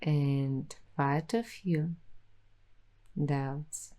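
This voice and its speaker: Female ASMR host, whispery and soft, slightly low voice, dreamy and intimate delivery. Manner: slow, dreamy, intimate, addressing the listener directly. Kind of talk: soft-spoken ASMR roleplay.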